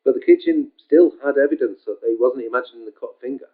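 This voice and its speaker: A man's voice: mid-range voice, huge pitch swings, fast. A man reading his own short story aloud in a casual, homespun way, leaning often on the word "just". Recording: tinny phone-like sound.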